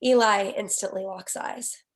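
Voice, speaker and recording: A woman's voice: mid-range voice, steady tone, a little slow. A woman narrating a screenplay's stage directions in a scripted read.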